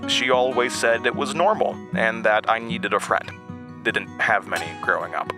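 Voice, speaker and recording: A man playing a nervous young man from a small Midwestern town, recounting his past in a halting, conversational audio drama monologue. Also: on the low side, music in the background, natural voice. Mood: nostalgic. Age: young adult. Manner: hesitant, stammering, rambling. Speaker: audio drama lead character.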